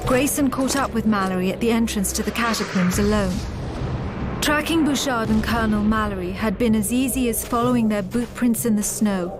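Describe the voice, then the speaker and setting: A woman playing a grave chronicler of an alien war in a video game, narrating in a measured, serious storytelling manner over music. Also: fairly high pitch, close to an everyday voice.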